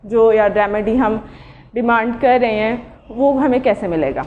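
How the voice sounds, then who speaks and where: Female law lecturer, Pakistani accent, high voice, halting delivery with English terms mixed in, dull lo-fi recording. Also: a little hiss or hum. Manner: halting, mixes Urdu and English legal terms. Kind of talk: informal lecture on evidence law. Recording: dull lo-fi audio.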